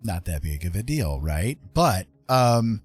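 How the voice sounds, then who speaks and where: A man hosting a livestream, casually telling stories in an animated way with drawn-out dramatic phrasing. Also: lowish voice, husky, soft background music. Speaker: livestream host. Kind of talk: casual storytelling. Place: indoor livestream with music behind.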